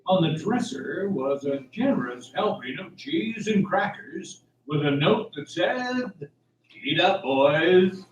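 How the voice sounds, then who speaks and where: Lively male storyteller, higher-pitched. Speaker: narrator. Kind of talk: scripted radio drama narration. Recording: echoey room, distant mic, faint hiss.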